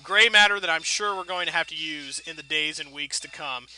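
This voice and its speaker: A man's voice: on the high side, brisk, sharp tone, rough recording. A man giving casual, rambling gameplay commentary, thinking aloud in a wry, self-deprecating way with playfully folksy turns of phrase. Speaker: video game let's player.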